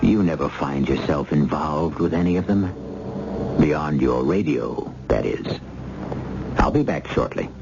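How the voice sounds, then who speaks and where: A man hosting a radio drama with scripted narration, measured, theatrical and portentous. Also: extremely low pitch, creaky. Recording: dull old radio recording. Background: music.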